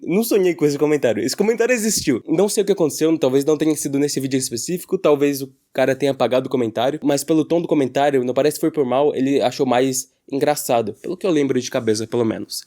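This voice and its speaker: A man's voice: medium pitch, fast, hardly any pauses, mellow. A Brazilian man giving casual opinion commentary as a YouTuber, in an informal, slangy way.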